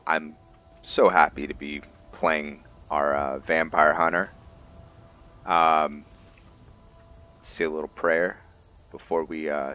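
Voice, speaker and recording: A man giving casual let's-play commentary and roleplay narration in a halting, musing way, often correcting himself. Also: very deep voice, pushed and bright. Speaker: host of a roleplay gaming series.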